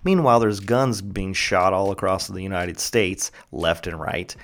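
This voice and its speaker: A man's voice: low voice, a little slow, fairly bright tone. A man hosting a solo podcast, rambling from tangent to tangent with sarcastic, crude jokes, sometimes chewing while he talks. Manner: joking, sarcastic, tangent-prone, crude humor. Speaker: podcast host.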